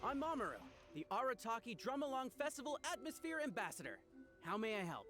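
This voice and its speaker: Eager young hype-man, earnest, theatrical, high-spirited game character, music playing behind. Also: very animated, fast, fairly bright tone, exaggerated voice. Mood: hyped, cheery. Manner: theatrical, over-enthusiastic. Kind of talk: animated video game dialogue.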